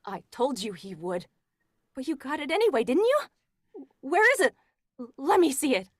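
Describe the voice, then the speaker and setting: Actress as cold-blooded scheming young wife, 1940s radio horror: high, swooping, domineering and coaxing. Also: female voice, sing-song, normal speed, dry recording, clean studio sound. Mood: eager, probing.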